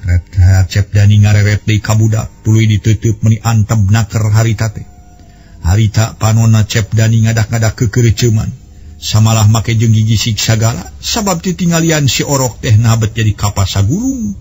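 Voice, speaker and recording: A man's voice: lowish voice, moderate pace, darkish tone. A man with a West Javanese accent telling a story in dramatic radio style, recorded on old cassette. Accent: Sundanese-language West Javanese.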